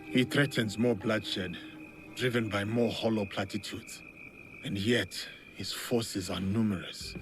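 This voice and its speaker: An actor playing a steadfast, grave samurai warrior in a video game, speaking solemnly and formally. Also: male voice, fairly low pitch, fairly even intonation, takes it slowly. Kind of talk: video game cutscene acting. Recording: loud music behind the voice.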